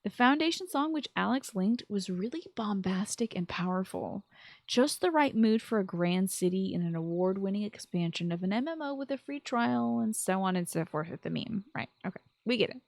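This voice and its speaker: A woman presents a scripted solo podcast in a medium-pitched voice. She is expressive and slips in enthusiastic asides. The sound is dry, with little trace of room. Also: normal speed, vocal fry, dry recording. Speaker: podcast host. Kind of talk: scripted solo podcast commentary on video games.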